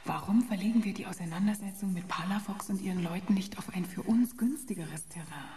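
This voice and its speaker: Woman as fugitive programmer, radio drama: mellow, intense, earnest, faint noise behind. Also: medium pitch, brisk, natural voice. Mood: urgent, hushed. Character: earnest female programmer on the run, intense and sharp-witted.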